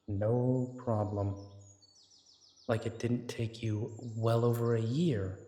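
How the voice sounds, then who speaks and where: A man voicing a protective male werewolf detective in an audio drama, speaking in a gentle, reassuring, unhurried way. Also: medium pitch, steady tone. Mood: worried inner thoughts. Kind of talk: romantic audio drama roleplay.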